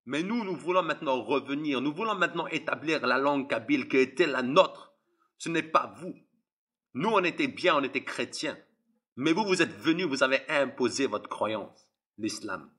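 Impassioned young man preaching, husky and tense. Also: medium pitch, fairly bright tone. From Algeria. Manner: impassioned, repetitive, addresses listeners directly. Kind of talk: preaching testimony.

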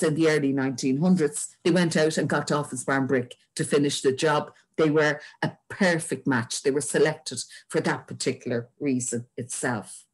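An older Irish woman presents local history as an informal illustrated lecture. Her voice sits fairly low and has a husky grain. She speaks from home into a webcam on a video call. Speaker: local historian giving a talk. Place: indoors at home.

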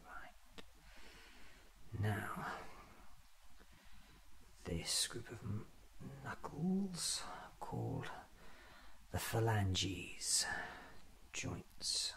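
A man's voice: fairly low pitch, a bit bright. A man performing a whispered ASMR roleplay, murmuring methodically to himself as an eccentric doctor examining his own body.

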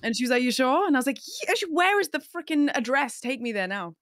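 Young woman, animated storyteller, slightly high voice. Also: fast. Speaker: guest. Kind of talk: casual podcast chat. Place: home room, indoors. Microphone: studio mic on a boom arm, video call.

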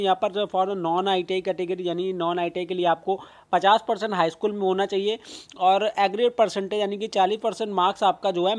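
Male host with a high voice, loose and chatty, reads out a recruitment notice over a screen recording. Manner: casual, filler-heavy reading with frequent restarts; mixes English phrases into Hindi talk. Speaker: job news channel host.

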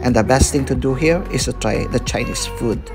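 A man's voice: mid-range voice, brisk, creaky, music in the background. A man narrating a travel guide as a vlogger, presenting sights to visitors.

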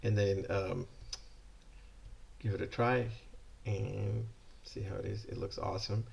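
Middle-aged california guy rambling about his stock, super gravelly low voice, laptop cam in a shop, bit of hiss. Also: fairly even intonation, unhurried. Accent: American. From California, USA. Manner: enthusiastic, rambling, chatty. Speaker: yo-yo shop owner. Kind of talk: casual product unboxing. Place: indoor shop room.

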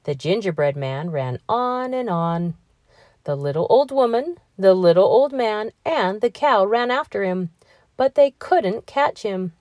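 A woman narrating a children's story as an expressive read-aloud host. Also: mid-range voice, measured pace, dry recording, clean studio sound. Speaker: storybook reader, channel host.

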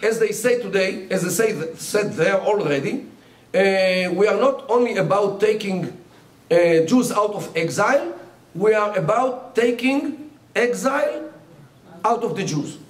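A man's voice: sits high, animated. An older man whose first language is Yiddish, giving a public lecture at a podium with many fillers and restarts. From Israel. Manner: halting, many fillers and restarts, gestures a lot. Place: lecture hall. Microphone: podium mic. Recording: roomy hall sound from some distance.

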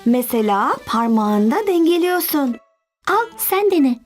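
A woman's voice: sits high, very animated, soft background music. A woman voicing a friendly young girl who explains things helpfully in a children's cartoon. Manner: unhurried, instructive.

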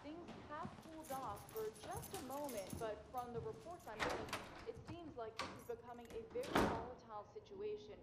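A television newswoman in a drama. Her voice is fairly high and formal, composed and grave, with echo and very loud noise around it. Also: sing-song, brisk. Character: serious, composed female television news reporter. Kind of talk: news reporting.

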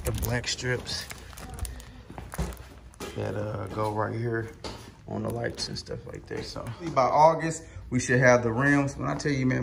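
A man's voice: lowish voice, gravelly, background noise. A man in his thirties vlogging casually to a handheld camera on his driveway and in his garage, rambling with plenty of fillers. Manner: loose, rambling, lots of fillers. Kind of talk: casual vlog talk to camera. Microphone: handheld camera with foam mic.

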